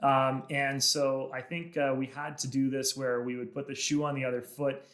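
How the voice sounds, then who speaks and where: A man hosting a podcast, enthusiastic and friendly, pausing often with "uh" as he introduces and questions his guest. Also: medium pitch, flat delivery, fairly bright tone, echoey room. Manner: friendly, many "uh" pauses, enthusiastic. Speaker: podcast host. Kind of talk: casual interview chat.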